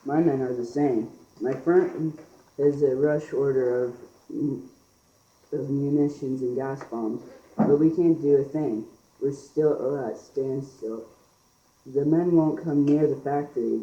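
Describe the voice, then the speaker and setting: A man's voice: mid-range voice, fairly even intonation, unhurried, mellow, lightly acted. A young teenage boy playing a blustering, formal British factory owner in an amateur school radio play. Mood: aggrieved. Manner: formal, declamatory. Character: blustering pompous British factory owner fretting over stockholders. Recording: muffled, echoey amateur room recording.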